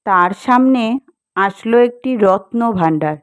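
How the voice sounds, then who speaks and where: A woman's voice: fairly high pitch, animated, takes it slowly, smooth, no echo, studio quality. A woman reading scripted fairy-tale narration as the storyteller of a cartoon. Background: music.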